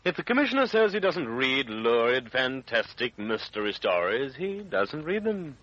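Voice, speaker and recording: A man playing a suave, urbane gentleman sleuth with a dryly teasing manner in an old radio drama. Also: mid-range voice, sing-song, moderate pace. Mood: dryly amused. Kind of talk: 1940s radio acting. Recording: dull, noisy old radio recording.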